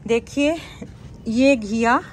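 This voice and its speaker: A woman's voice: fairly high pitch, very slow, a little hiss or hum. A woman casually narrating a garden tour as a vlogger.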